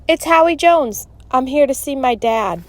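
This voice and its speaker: A woman's voice: high-pitched, lively intonation, measured pace, pitched above the performer's own voice. A woman voicing an earnest, decisive schoolboy who leads his friends in a storybook. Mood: eager.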